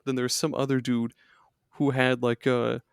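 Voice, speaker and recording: A man's voice: medium pitch, little pitch movement, unhurried, vocal fry, clear, resonant tone, no echo, clean studio sound. A man on a gaming podcast, rambling casually with lots of fillers and swearing. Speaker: podcast guest.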